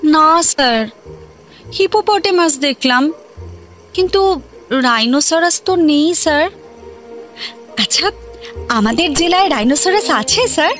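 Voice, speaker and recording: An actress playing a polite, deferential office stenographer in a comedy radio play. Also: female voice, high-pitched, expressive, measured pace, pauses often, fairly bright tone, faint music behind. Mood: puzzled, curious. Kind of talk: Bengali comedy radio drama acting.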